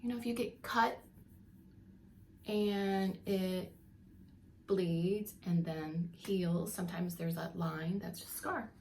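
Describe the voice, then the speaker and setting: A woman preschool teacher reading a picture book aloud to young children, expressively, pausing to explain and ask questions. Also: on the low side, steady tone, a little mellow, a little hiss or hum.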